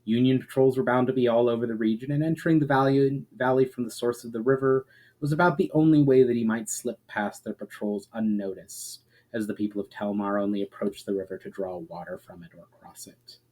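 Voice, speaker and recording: A man's voice: mid-range voice, fairly even intonation, fairly quick, darkish tone, poor audio. Man giving a dramatic story reading. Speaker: author reading fiction aloud.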